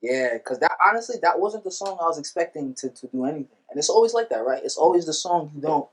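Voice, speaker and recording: A man talking as a musician guest on a podcast, telling stories in a relaxed, slangy way. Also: fairly high pitch, fast talker, poor audio. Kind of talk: casual podcast chat.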